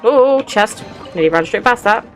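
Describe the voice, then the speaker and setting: A woman's voice: mid-range voice, lively intonation, moderate pace, soft background music. A woman hosting a gaming playthrough, commenting casually in an informal, chatty way. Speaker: gaming channel host. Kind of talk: casual let's play commentary.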